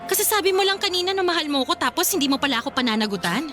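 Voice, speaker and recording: A woman's voice: sits high, expressive, rapid-fire, a bit bright. An actress playing an emotional, pleading young pregnant girlfriend who stands her ground in a Filipino radio drama. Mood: hurt, accusing. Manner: emotional, confrontational. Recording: music bed under the voice.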